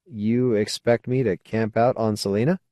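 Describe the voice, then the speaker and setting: A man's voice: on the low side, steady tone, normal speed, mellow, no room sound, lightly acted. A man voicing a wary, awkward, questioning male character in an audiobook. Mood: skeptical. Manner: hesitant, questioning. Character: wary, awkward man, skeptical former idealist.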